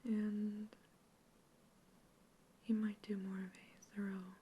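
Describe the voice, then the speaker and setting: A woman whispering in an ASMR roleplay as a polite human researcher questioning a captive alien, gently curious and reassuring. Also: medium pitch, level tone, takes it slowly, dull audio, a little hiss or hum, lightly acted. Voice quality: soft whisper throughout.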